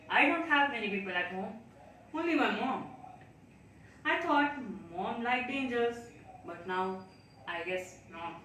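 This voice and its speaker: A woman performing a theatrical monologue as an anxious, chatty, naive child, talking to themself in a dramatic, deliberate way. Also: on the high side, pushed and bright. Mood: earnest, wistful. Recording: very echoey room, distant mic, faint noise.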